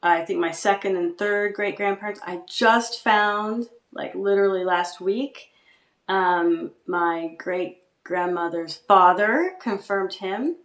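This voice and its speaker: A woman guest speaking reflectively about her writing and family history, often pausing and restarting. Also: medium pitch, a bit bright, echoey room. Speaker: guest writer. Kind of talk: casual interview chat.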